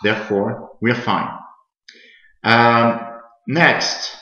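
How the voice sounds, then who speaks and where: A middle-aged man giving a software tutorial as a lecturer, speaking in a halting, deliberate way and explaining each step as he types. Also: mid-range voice. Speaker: university lecturer. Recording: roomy, dull webcam sound.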